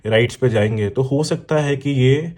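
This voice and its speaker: A man's voice: medium pitch, normal speed, darkish tone. A man with an Indian accent giving a casual, explanatory unboxing talk as a vlogger, addressing the viewer directly. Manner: explanatory, addresses viewer with examples and check-ins. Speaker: motorcycle vlogger and product reviewer. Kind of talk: casual unboxing talk mixing Hindi and English.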